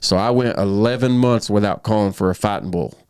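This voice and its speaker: A man in his thirties speaks in a fairly low voice, earnest and unhurried as he tells his story on a casual podcast. He often stops and restarts mid-sentence. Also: a little mellow, clean tone, dry recording, studio quality. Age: 30s. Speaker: professional bullfighter guest.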